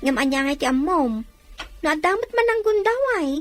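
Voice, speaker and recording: Radio drama: practical woman working abroad, high voice, big melodic swings, admonishing. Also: very animated, a little fast, soft background music. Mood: firm advising. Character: practical, cautionary woman working abroad, advising her friend.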